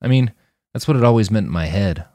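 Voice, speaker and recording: A man's voice: lowish voice, expressive, fast talker, a little mellow, dry recording, clean studio sound, pitched above the performer's own voice, natural voice. A man voicing a friendly, plain-spoken army mechanic in an audiobook, casual and frank. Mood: matter-of-fact, hopeful.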